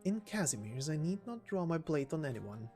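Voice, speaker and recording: A man voicing a calm old knight who speaks formally and solemnly, like a storyteller in a game, with music behind. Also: fairly high pitch, moderate pace. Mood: calm, earnest. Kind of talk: dramatic reading of game dialogue. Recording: voice over background music.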